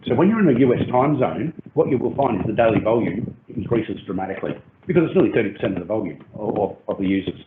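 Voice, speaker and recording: A man with a lowish voice explains trading details at length, pausing often on ums and restarting his phrases. His voice comes through a thin, phone-like call line. The sound is roomy, with noise underneath. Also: animated. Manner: hesitant, many ums and restarts. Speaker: crypto project team lead. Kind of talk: explanatory AMA talk. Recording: tinny phone-like call audio, roomy and noisy.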